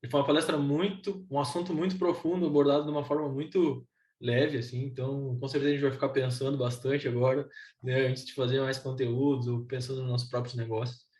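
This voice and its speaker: A man's voice: mid-range voice, flat delivery, quick pace, darkish tone. A man with a Brazilian Portuguese accent, casually presenting a live event in an informal, enthusiastic way. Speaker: event host.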